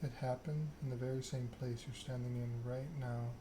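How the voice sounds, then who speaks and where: A man voicing a proud, scheming vampire in a measured, self-important monologue, read aloud in an ASMR style from a game. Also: mid-range voice, fairly even intonation, background noise, lightly acted. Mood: brooding, fatalistic. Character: proud, scheming vampire aristocrat telling their story.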